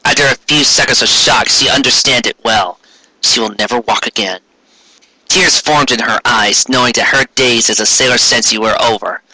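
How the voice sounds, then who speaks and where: Male narrator for amateur fiction reading: very forceful, bright tone, a bit husky, occasionally stumbling. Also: mid-range voice, moderate pace, no room sound, low-quality recording. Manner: stumbles over words while reading. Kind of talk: dramatic reading of fan fiction.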